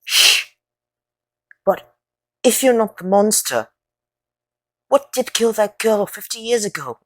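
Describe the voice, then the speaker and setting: A woman's voice: medium pitch, animated, slow, fairly bright tone. A woman playing an earnest young boy wizard with an intense manner in an audio drama. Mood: earnest, questioning. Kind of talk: fan audio drama acting.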